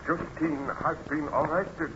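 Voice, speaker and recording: A man's voice: fairly high pitch, steady tone, slow pace. A man acting a troubled, courteous aristocratic baron in an old-time radio drama, speaking in a formal, dramatic manner. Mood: disturbed. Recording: muffled, noisy old radio recording.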